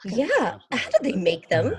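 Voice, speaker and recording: A woman's voice: a little high, wildly swooping pitch, unhurried, a crowd in the background. A woman playing a curious, slangy waxworks visitor in an old-time radio drama. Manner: slangy, inquisitive. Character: curious, wide-eyed female waxworks visitor.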